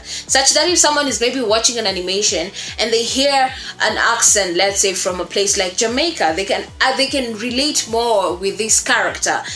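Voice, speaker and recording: A woman instructor explaining a lesson in an expressive way, repeating words for emphasis. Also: mid-range voice. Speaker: voice acting instructor. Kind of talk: explanatory lesson on creating character voices. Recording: roomy echo, faint music behind.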